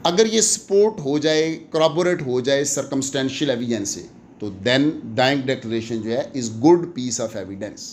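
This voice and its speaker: A man with a Pakistani accent lecturing in an explanatory way, deliberate and emphatic, switching between languages as he teaches. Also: medium pitch, a little hiss or hum. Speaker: law lecturer.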